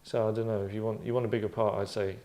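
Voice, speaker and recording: Man leading an actors' read-through, casual and halting, rambling, deadpan comic asides. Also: lowish voice. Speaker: table read leader among actors.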